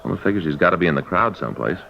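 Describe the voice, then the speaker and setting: Easygoing radio detective partner, fast talker, gravelly, practical, muffled 1950s recording. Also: male voice, deep voice, animated, slight background noise. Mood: brisk, speculative. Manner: colloquial, practical. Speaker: radio actor. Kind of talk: 1950s radio acting, brisk dialogue. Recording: dull vintage radio recording.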